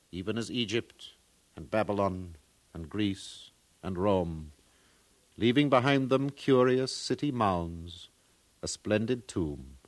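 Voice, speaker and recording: A man's voice: low-pitched, no echo. A man playing a pompous, solemn far-future archaeologist in a 1950s radio drama, lecturing like an orator.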